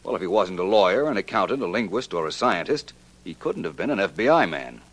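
An actor playing a shrewd, confident detective in 1940s radio drama style, laying out his deductions crisply and methodically. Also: low voice, sing-song, fast, fairly bright tone, exaggerated voice. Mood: self-assured, explanatory. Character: shrewd, self-assured male amateur detective explaining his deductions.

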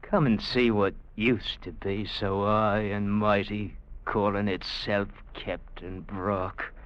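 A man's voice: on the low side, flat delivery, slow pace. An actor playing a small, vicious, vengeful man with sneering, folksy speech in a vintage radio drama. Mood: gloating. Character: small vicious vengeful man, gloating over his revenge. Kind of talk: 1950s radio drama acting. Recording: muffled vintage radio recording.

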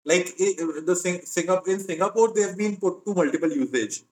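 Male co-host, Indian accent, slightly high voice, dry and teasing. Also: brisk, rough recording. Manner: dry, teasing, explains in bursts. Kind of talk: casual podcast banter.

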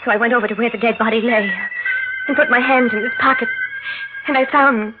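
An actress playing an anxious, secretive wife in a 1940s radio mystery, speaking with nervous hesitations and repeated words. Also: female voice, on the high side, fairly even intonation, brisk, over loud music, natural voice. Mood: tense, confessional. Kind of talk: 1940s radio drama acting, confessional narration. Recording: narrow-band vintage radio recording.

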